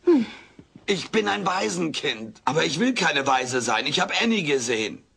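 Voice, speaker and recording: Cheeky furry alien for a sitcom radio play, bright punchy voice, hammy mock-grand delivery. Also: male voice, on the high side, sing-song, moderate pace, vocal fry, a little hiss or hum, full character voice. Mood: worried, scheming. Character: wisecracking, meddling furry alien houseguest, male. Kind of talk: dubbed sitcom audio play acting.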